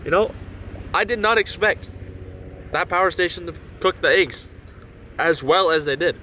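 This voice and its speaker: A young man with a cold-raspy voice chatting excitedly to camera while car camping by a lake, casual and full of "dude" and "like". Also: high voice, moderate pace, a little hiss or hum, poor audio. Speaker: vlogger. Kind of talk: casual vlog chat to camera.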